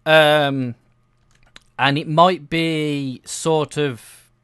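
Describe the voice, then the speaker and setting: Slow-talking, hesitant man chatting casually. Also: on the high side, fairly even intonation, fairly bright tone, clear, resonant tone, no echo, studio quality. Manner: hesitant, self-deprecating, giggly. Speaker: gaming video creator. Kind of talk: casual rambling chat over gameplay.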